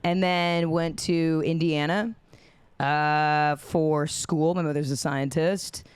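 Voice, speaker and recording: A woman's voice: deep voice, fairly even intonation, slow pace, clear, resonant tone, dry recording. Hungover woman hosting a chatty podcast. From United States. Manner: jokey, blunt, swears freely. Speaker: podcast host. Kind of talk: casual chat.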